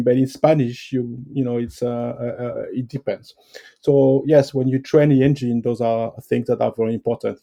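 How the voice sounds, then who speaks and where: French guy talking english, lots of uhs, soft dark tone. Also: medium pitch. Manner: hesitant, many fillers and restarts. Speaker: guest expert. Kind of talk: conversational interview answers.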